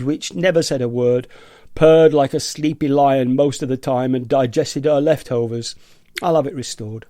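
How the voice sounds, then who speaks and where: A man's voice: medium pitch, warm tone, dry recording, clean studio sound, lightly acted. A man acting an indignant, eccentric rebel in a radio play, theatrical and emphatic in his delivery. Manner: theatrical, emphatic, mimics nagging voices. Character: indignant, eccentric male rebel against noisy gadgets, smugly defiant. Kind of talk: radio play monologue, amateur dramatic reading.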